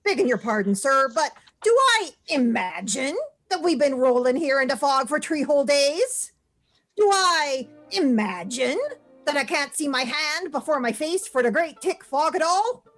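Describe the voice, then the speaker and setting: A woman's voice: sits high, animated, fairly bright tone, soft background music. A woman acting in a radio play as a superstitious sailor, with an ominous, dramatic way of telling sea lore. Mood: indignant. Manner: slow, dramatic, ominous storytelling. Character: superstitious Irish sailor, doom-laden teller of sea lore.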